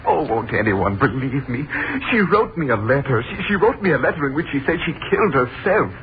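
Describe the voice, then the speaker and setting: A man's voice: mid-range voice, sing-song, brisk, crowd noise, natural voice. An actor playing a desperate young prisoner pleading his innocence, in a 1930s radio play. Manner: frantic, pleading. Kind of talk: 1930s radio drama acting. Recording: tinny, noisy 1930s radio transcription.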